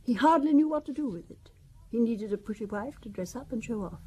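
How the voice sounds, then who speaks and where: Husky, genteel ageing widow. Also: female voice, a little high, lively intonation, a little fast, warm tone. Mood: wistful, confiding. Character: genteel ageing French widow, chatty and wistful. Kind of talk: audio drama acting, conversational storytelling.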